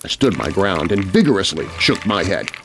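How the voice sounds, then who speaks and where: A man narrating a scary children's story in an expressive, suspenseful, scripted style. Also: deep voice, normal speed, noisy background. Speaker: narrator, voice artist.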